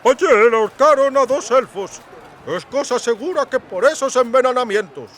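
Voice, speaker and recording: A man's voice: very high-pitched, animated, normal speed, a bit bright, a little hiss or hum, voice pushed up. A man playing a pompous, indignant fat townsman with emphatic, self-important delivery in an audiobook. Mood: self-assured. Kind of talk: audiobook character acting.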